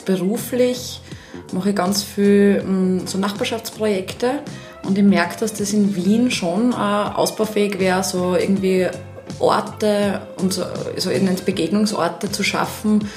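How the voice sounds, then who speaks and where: A woman's voice: medium pitch, a little slow, roomy sound, faint music behind. A woman in her thirties from Upper Styria with a Styrian Austrian accent, chatting casually as a podcast guest with many fillers. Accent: Styrian Austrian German. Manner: chatty, animated, many fillers, laughs easily. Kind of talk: casual chat.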